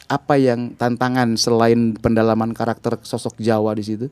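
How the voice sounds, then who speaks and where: A man hosts a lively chat-show interview, speaking. He keeps things playful and often jumps up sharply in pitch when something surprises him. His voice sits at a middle pitch. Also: normal speed, smooth, dry recording. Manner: playful, exclaims with sudden high jumps in pitch. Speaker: interviewer host. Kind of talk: chatty talk-show interview.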